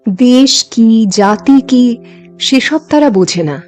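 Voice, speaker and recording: A woman reading a scripted dramatic story in a measured, expressive way with deliberate pauses. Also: on the high side, faint music behind. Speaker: narrator and host of a story channel.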